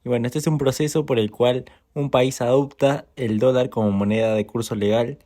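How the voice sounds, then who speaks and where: Man, podcast co-host, mellow dark voice, measured explainer. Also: mid-range voice, steady tone. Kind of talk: informative explainer.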